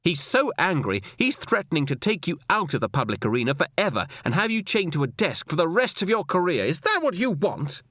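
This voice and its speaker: A man's voice: fairly high pitch, very animated, fairly quick, hardly any pauses, fairly bright tone, dry recording, clean studio sound, voice pushed up. A man voicing a cold, stern, scornful senior policewoman in an audiobook, with a clipped, authoritative, lecturing manner. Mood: angry, stern. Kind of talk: audiobook character dialogue.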